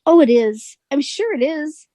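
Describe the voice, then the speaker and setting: An actress playing a gentle, lonely bookshop woman, earnest and shyly admiring, in a radio suspense drama. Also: high-pitched, measured pace, dry recording, clean studio sound. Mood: wistful, tender. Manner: soft-spoken, earnest, flattering.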